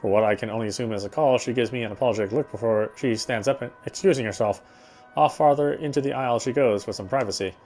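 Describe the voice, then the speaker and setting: A man reads a visual novel aloud while playing it. His voice sits at an average male pitch. Faint music runs behind his narration. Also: fast talker, a little mellow. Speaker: let's player reading a game aloud.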